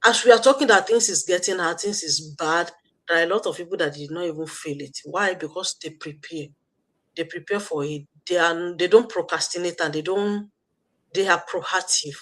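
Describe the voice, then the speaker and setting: A woman's voice: on the low side, normal speed. A woman with a Nigerian accent hosting an online live stream, giving a motivational talk with a preachy, repetitive manner and frequent fillers. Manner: preachy, repeats points, thinks aloud with fillers.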